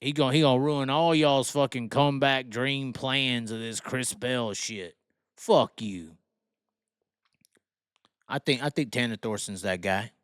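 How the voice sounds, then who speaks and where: A man's voice: medium pitch, measured pace, clear, resonant tone, no echo, studio quality. A man giving opinionated racing commentary in a brash, emphatic, profanity-heavy way, repeating phrases to drive them home. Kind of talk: opinionated solo rant commentary.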